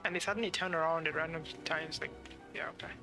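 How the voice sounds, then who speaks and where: Higher-pitched, creaky male game streamer. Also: fairly even intonation, quick pace. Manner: grumbling, talks to himself. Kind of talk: casual live gameplay commentary. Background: game music.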